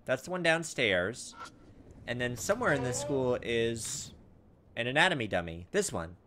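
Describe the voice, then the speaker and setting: A man giving a casual let's play commentary, thinking out loud, reading game text and tossing in exasperated asides. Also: medium pitch, big pitch swings, normal speed, background noise. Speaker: gaming video host. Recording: background music behind voice. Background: video game music.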